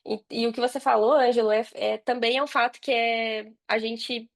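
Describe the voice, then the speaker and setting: A woman's voice: a little high, steady tone, moderate pace, creaky. A Brazilian woman chatting casually as an interview guest, informally thinking her answers through aloud. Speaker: podcast guest, digital marketer.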